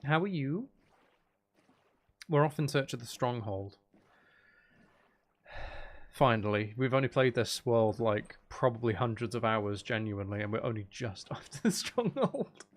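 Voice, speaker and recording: A man's voice: medium pitch, expressive, measured pace, long pauses. A man doing casual live gameplay commentary, rambling and thinking aloud as he chats with viewers. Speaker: video game livestreamer.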